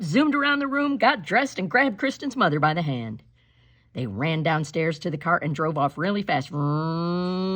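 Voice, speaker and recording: A woman narrates a picture book for children. Her pitch swings widely as she tells the story with drama and strong emphasis. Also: a bit bright. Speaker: storybook reader. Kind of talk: animated read-aloud children's narration.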